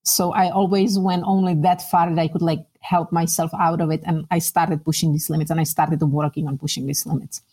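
Mellow middle-aged Czech-accented woman, lower-pitched. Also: flat delivery, fairly quick, few pauses. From Czech Republic. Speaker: interview guest. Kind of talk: conversational personal recollection.

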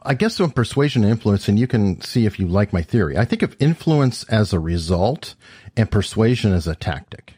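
Male podcast host, low husky voice, upbeat interviewer, dry booth sound. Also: animated, normal speed, dark tone, no room sound. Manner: upbeat, enthusiastic questioning. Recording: dry close-mic sound.

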